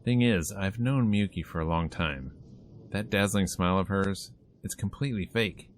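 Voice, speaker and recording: A man with a very low voice plays through a visual novel. He narrates the text casually and comments on it in a wry, informal way. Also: normal speed, slight background noise. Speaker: gaming streamer.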